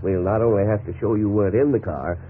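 Actor as businesslike lawyer on tinny old radio. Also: male voice, fairly low pitch, steady tone, fast talker, close to an everyday voice. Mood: businesslike, assured. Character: confident male lawyer advising a client, businesslike. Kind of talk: 1950s radio drama acting. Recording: tinny, hissy 1950s radio recording.

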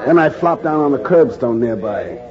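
An actor playing a determined undercover newspaper reporter who narrates in an earnest, measured way, in 1940s radio acting. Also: male voice, mid-range voice, animated, a crowd in the background, natural voice. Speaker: narrator and lead. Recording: dull old radio recording with music.

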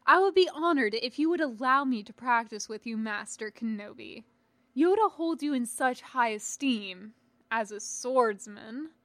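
A woman's voice: high voice, expressive, normal speed, a bit bright, no echo. A woman voicing an overbearing, smug senior male master in an audiobook, pompous and falsely gracious. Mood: grimly courteous. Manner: pompous, courtly.

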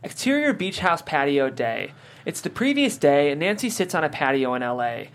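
A man's voice: fairly high pitch, big pitch swings, moderate pace. A man hosting a script read and narrating stage directions.

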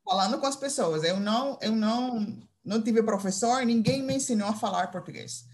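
A woman from Curaçao with a Dutch accent, a teacher being interviewed, speaking haltingly with many fillers and restarts. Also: on the high side. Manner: hesitant, searching for words with many fillers and restarts. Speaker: English teacher, interview guest. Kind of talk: casual interview answers.

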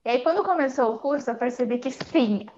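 A Brazilian woman with a Brazilian Portuguese accent talks freely as a podcast guest, stringing her story along with small filler words. Her voice sits a little high. The audio is muffled and lo-fi. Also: a little fast, mellow, a little hiss or hum. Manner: chatty storytelling with frequent fillers. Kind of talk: casual interview chat.

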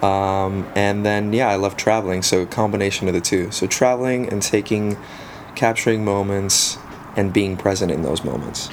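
A man in his thirties with a South African accent, a native Afrikaans speaker, talking casually as an interview guest with relaxed pauses and frequent ums. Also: lowish voice, a little hiss or hum. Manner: relaxed, frequent ums, self-deprecating humor. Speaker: guest, photographer.

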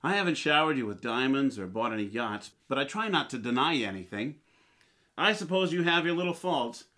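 A man's voice: medium pitch, big pitch swings, a little fast, sharp tone. A man playing a blustery, henpecked but sentimental husband in 1940s radio comedy style. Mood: rueful, tender.

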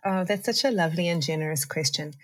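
A woman's voice: sits low, fast. A woman in her forties from South Africa with a South African accent, a podcast guest talking thoughtfully and laughing often. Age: 40s. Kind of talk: conversational interview.

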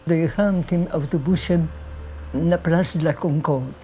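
A woman's voice: low-pitched, moderate pace, dark tone, a little hiss or hum, low-quality recording. An older woman from Poland, recounting her memories in an interview. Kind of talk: recollection in interview.

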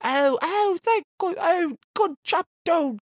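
A man's voice: extremely high pitch, animated, slow, no echo, studio quality, pitched above the performer's own voice, exaggerated voice. A man voicing a gushing old Eastern European civilian who cheers a returning soldier, in an exaggerated sing-song accent.

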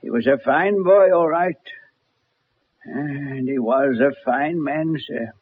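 An actor playing a humble, nervous old butler in a radio drama, deferential, folksy and hesitant. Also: male voice, on the high side, takes it slowly, husky. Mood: fond, wistful. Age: older. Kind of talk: 1960s radio drama acting. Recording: muffled, noisy old radio broadcast recording.

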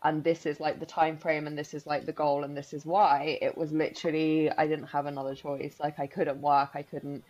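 Podcast co-host, woman in her 30s, deep voice, rambling chatty delivery, slightly muffled lo-fi sound. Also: level tone, normal speed, hardly any pauses, a little mellow. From Spain. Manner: chatty, rambling with many likes and restarts. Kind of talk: casual chat.

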